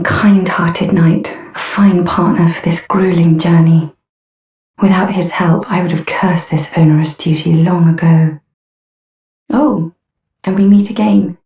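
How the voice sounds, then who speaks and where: A woman voicing a courteous, earnest female knight in a fantasy game, speaking formally and gently. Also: fairly low pitch. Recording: roomy echo, slightly distant.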